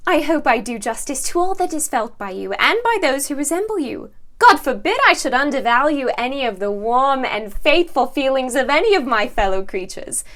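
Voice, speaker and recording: Casting: woman to play dignified period gentlewoman, somewhat high-pitched, formal and fervent, for a classical monologue. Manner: formal, impassioned, measured phrasing. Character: earnest, dignified period gentlewoman defending women's constancy.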